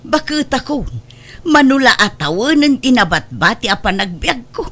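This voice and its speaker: A woman acts out a stubborn old friend who loves to indulge, in an Ilocano comedy drama. The voice is bright and swings widely in pitch, with an Ilocano Filipino accent. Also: very animated, normal speed, exaggerated voice. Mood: defiant, animated.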